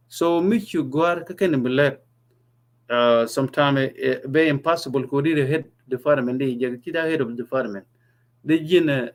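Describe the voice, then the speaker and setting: A man hosting a casual discussion, talking haltingly with many fillers and restarts. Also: mid-range voice. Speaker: host. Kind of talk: casual discussion mixing languages.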